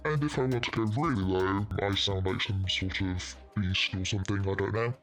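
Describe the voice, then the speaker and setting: A big, playful monster voiced by a woman, electronically shifted down to an extremely deep pitch. Faint music runs underneath. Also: moderate pace. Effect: pitch shifter dropping the voice very low.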